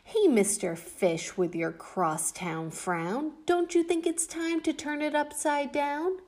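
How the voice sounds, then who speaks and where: A kindly, grinning female clam from a storybook, voiced by a woman. She coaxes gently and unhurriedly in a soft, mellow voice. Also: high voice, slow, voice pushed up. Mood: coaxing. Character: friendly smiling female clam giving advice.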